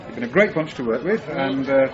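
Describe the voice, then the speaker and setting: An actor playing a cheery, teasing police detective in a naturalistic radio drama, talking in a matey, joshing way. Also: male voice, medium pitch, animated, a little fast, music in the background, lightly acted. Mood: awkward, grateful. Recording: dull radio drama recording, pub crowd.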